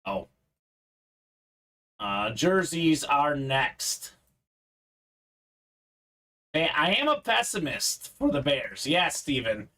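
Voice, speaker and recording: Male host from Bulgaria, fairly high voice, casual running chat about the pulls. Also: big pitch swings, extremely slow, long pauses, sharp tone, rough recording. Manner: chatty, reads out viewer comments. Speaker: live card break host. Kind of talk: casual live-stream chat.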